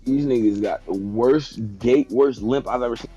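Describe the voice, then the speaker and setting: A man casually telling a comedic story to friends in slangy, animated speech. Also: medium pitch, normal speed, breathy edge, lo-fi sound. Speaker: podcast guest telling a story. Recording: music playing behind the voice. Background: background music.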